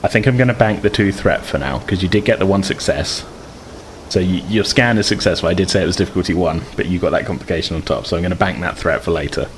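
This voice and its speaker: A man's voice: low voice, quick pace, slight background noise. A man working as game master, improvising narration for a tabletop game in a hesitant, hedging way. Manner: hesitant, hedging descriptions with "sort of".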